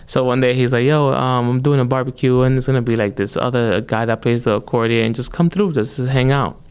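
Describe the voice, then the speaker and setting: A young adult man, an accordion player, tells stories in a relaxed, rambling way, full of "um" and "you know." His voice is smooth and resonant, and his pitch sits in the middle range. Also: fairly quick. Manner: relaxed, rambling, with many fillers and restarts. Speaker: musician guest, accordionist. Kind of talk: casual storytelling interview.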